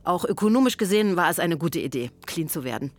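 A woman's voice: on the low side, lively intonation, quick pace. A woman playing a sardonic, blunt actress in recovery from addiction, speaking a letter as a dry radio-play monologue. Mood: wry, candid.

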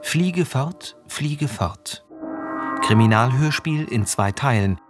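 A man's voice: medium pitch, animated, takes it slowly. A man announcing a radio drama, reading titles and credits from a script. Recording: music behind the voice.

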